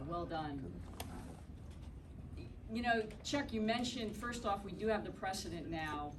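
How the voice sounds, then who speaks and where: A woman in the audience offering thanks and comments, measured and with some fillers. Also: lowish voice. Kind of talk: comment from the floor. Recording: distant voice in noisy room.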